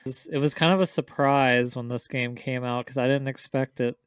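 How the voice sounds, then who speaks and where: Male co-host, casual chat, clear smooth voice, little pitch movement, dry recording. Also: fairly even intonation, brisk, dry recording. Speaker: podcast co-host.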